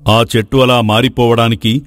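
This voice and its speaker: A man's voice: medium pitch, flat delivery, normal speed, dry recording, studio quality, close to an everyday voice. A man playing a wise, paternal king in a moral story, explaining things with familiar authority. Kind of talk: Telugu moral story voice acting.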